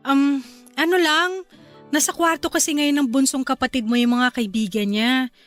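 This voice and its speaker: A gentle young newlywed wife in a radio drama, voiced by a woman. Her voice sits high and tender, and she speaks with quiet, caring concern. Faint music plays underneath. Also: steady tone, moderate pace, natural voice. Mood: hesitant. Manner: soft, affectionate and considerate.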